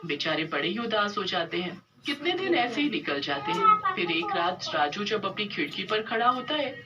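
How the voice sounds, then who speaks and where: A woman reading scripted narration for an animated story. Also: medium pitch, moderate pace, far from the mic, dull audio. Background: music.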